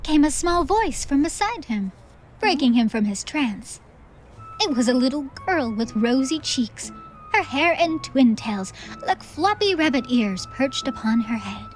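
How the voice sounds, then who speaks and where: A woman's voice: high voice, big pitch swings, unhurried, low-quality recording. A woman narrating a fantasy audiobook in a scripted, theatrical storytelling style. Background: soft music.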